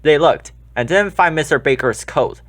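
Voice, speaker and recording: A man's voice: fairly high pitch, normal speed, a bit bright. A man reading a children's book aloud as scripted narration. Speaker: storybook reader.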